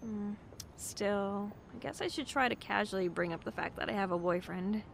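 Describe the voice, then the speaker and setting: A woman's voice: mid-range voice, moderate pace, vocal fry, close to an everyday voice. Actress as hesitant young woman over background noise. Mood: worried, musing. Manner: hesitant, self-deprecating, casual. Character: anxious, apologetic young bookshop clerk, woman. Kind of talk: naturalistic audio drama acting.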